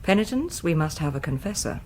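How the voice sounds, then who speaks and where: A British woman playing an intense, brooding Mother Superior in a radio drama, moving between command and hush. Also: lowish voice, very animated, a little fast. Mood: solemn authority. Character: troubled, imperious Mother Superior of a convent.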